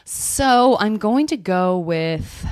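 A woman hosting a podcast in casual chat, playful and animated as she thinks out loud. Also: medium pitch, extremely slow, no room sound. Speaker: podcast host.

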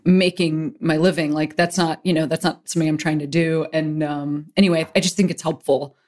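Woman interview host, rambling, filler-heavy, low-middle pitch. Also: steady tone, brisk, a little mellow. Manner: rambling, hedging, many fillers. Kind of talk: casual interview chat.

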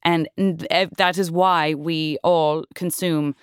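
Woman, lowish smooth voice, fake Dutch-sounding accent, halting and sheepish, dry close sound. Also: steady tone, no echo. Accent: put-on Dutch-sounding accent. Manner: halting, sheepish, full of restarts. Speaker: interviewee in a mock documentary. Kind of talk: improvised comedy interview.

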